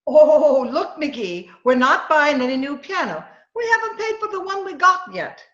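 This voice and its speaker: A woman acts as a teasing, sensible wife in a vintage radio comedy. She speaks high and lively, with wry needling, through a dull, echoey video call. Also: measured pace. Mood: wry protest. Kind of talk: old-time radio comedy acting.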